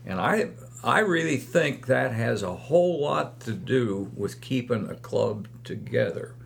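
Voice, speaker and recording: An older man, reminiscing about running in a slow, rambling way with many fillers. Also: medium pitch, animated, gravelly, slight background noise. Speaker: guest, longtime club runner. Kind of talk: casual reminiscing chat.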